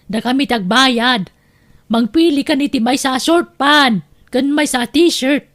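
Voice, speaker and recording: A high-pitched, bossy middle-aged aunt acted by a woman speaks with an Ilocano accent. She is breezy and thrifty, a family figure from an audio drama. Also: slow pace, a bit bright.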